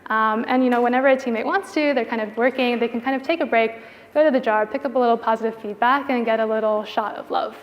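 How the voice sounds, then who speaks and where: High-voiced woman presenting in a reverberant hall. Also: fairly even intonation, quick pace, highs cut off. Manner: casual, informal phrasing with frequent "like" and "you know". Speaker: product designer giving a conference talk. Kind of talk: conference presentation, conversational. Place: indoor conference venue. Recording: reverberant hall with long echo.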